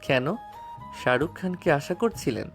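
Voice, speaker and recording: A man's voice: on the high side, lively intonation, unhurried, vocal fry, faint music behind. Man acting a sarcastic, jealous young man. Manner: mocking, piling up exaggerations. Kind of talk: dramatized audio story acting.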